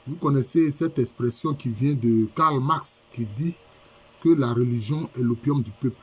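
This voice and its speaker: A husky-voiced man preaches with a head cold, and his voice sounds rough and a little stuffy. He hammers his points home by repeating them and asking rhetorical questions. His pitch sits at a middling level. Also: normal speed. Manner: repetitive, emphatic, rhetorical questions. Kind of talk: sermon by video conference.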